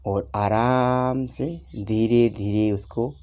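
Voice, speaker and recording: A man leading a guided meditation, giving unhurried instructions in short phrases with long pauses. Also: medium pitch, steady tone, a little mellow. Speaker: meditation teacher.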